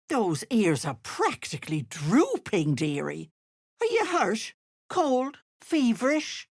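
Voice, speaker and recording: A woman's voice: lowish voice, slightly rough, exaggerated voice. A woman voicing a wicked old hag who poses as a sweet, folksy auntie in a video game, with cloying endearments and theatrical menace. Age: older. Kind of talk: video game character acting.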